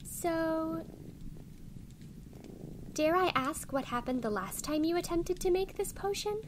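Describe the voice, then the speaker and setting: High-voiced, unhurried young witch, played by a woman, gentle and encouraging ASMR roleplay friend, light background noise. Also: expressive, full character voice, rough recording. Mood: helpful, friendly. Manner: soft, patient, encouraging. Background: fizzing, bubbling sound effects.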